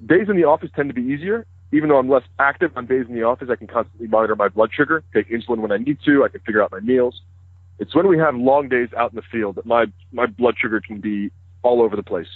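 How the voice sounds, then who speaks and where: Man in his thirties, native Hebrew speaker, brisk and open, husky, phone-line sound. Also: medium pitch, no room sound. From United States. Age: 30s. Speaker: interview guest, news correspondent. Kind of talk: phone interview conversation. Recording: dry, tinny phone line.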